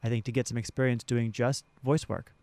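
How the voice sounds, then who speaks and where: A man being interviewed as a theater director, explaining conversationally with halting repeats, close on a studio mic. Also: medium pitch, little pitch movement, dark tone, no echo. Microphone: close studio broadcast mic.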